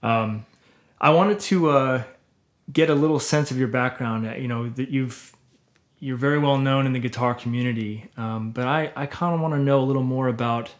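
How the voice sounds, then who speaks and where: A man's voice: mid-range voice, moderate pace. A man with a mid-range voice hosts a podcast interview. He asks his questions in an easygoing, casual way, feeling for his words as he goes. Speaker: podcast host.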